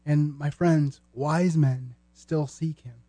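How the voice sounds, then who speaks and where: A man hosting a podcast in an earnest, conversational style, speaking sincerely in measured short phrases. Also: fairly high pitch, steady tone, warm tone, no room sound. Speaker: podcast host.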